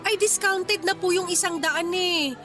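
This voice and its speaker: A woman's voice: extremely high pitch, a little slow, a bit bright, soft background music. A woman playing a young, cheeky and persuasive market fish vendor with a Filipino accent in a radio drama. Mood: eager, persuasive. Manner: pleading sales patter with teasing comebacks.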